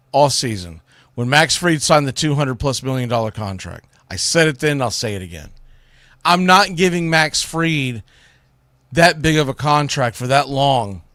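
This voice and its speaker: A man with a slightly high-pitched voice hosts sports talk in a dry, close-sounding space. He gives unscripted analysis in a blunt, opinionated way, leaning on key phrases for emphasis. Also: fairly bright tone, no echo. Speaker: sports radio show host.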